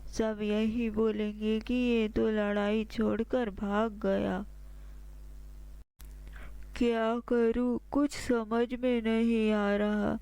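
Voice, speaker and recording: A man's voice: high voice, little pitch movement, very slow, creaky, a little mellow, clean tone, no echo, full character voice, poor audio. A man playing a humbled, defeated king in a children's cartoon, speaking in earnest, declamatory monologue. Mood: despondent, ashamed. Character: defeated king, despondent then humbled and resolved.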